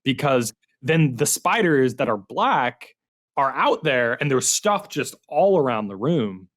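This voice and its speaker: A man's voice: medium pitch, big pitch swings, unhurried. A man hosting a podcast, interviewing a guest in a casual, conversational way.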